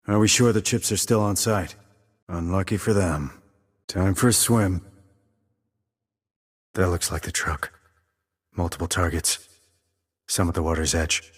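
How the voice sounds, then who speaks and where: A man voicing a gruff military sniper in a video game, giving clipped, deadpan, controlled lines in a low, husky voice. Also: big-room sound. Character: gruff male military sniper operative, cool and businesslike. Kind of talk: video game voice acting, terse radio reports.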